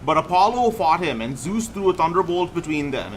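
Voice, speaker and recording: A man's voice: a little high, lively intonation, a little fast, slight background noise, rough recording. A man reading aloud and commenting on gameplay casually, often stumbling over words and names and correcting himself. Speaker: gaming streamer reading a myth aloud.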